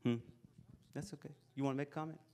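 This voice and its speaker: A man's voice: a little high, fast talker. A man in his forties giving a casual, encouraging talk to young people in a school hall, speaking into a handheld microphone. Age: 40s. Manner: lively, casual and encouraging, chatty asides. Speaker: motivational speaker. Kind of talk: live talk to a youth audience.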